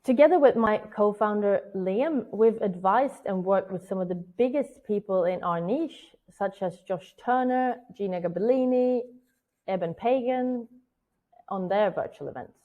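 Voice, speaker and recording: A woman's voice: mid-range voice, measured pace, narrow, tinny audio. Swedish woman presenting a webinar. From Sweden. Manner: earnest, personal storytelling. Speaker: virtual event strategist.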